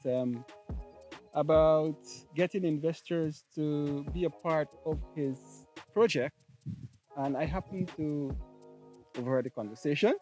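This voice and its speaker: Guy, high voice, lots of ums, chatty, soft music behind. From Guyana. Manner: hesitant, many ums, laughs easily. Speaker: guest. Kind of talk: casual storytelling chat. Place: outdoors on a farm.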